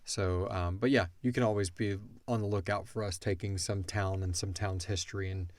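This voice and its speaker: A man's voice: low-pitched, fairly even intonation, moderate pace, no room sound. A man co-hosting a casual question-and-answer podcast about an audio drama, informal and slangy as he thinks aloud.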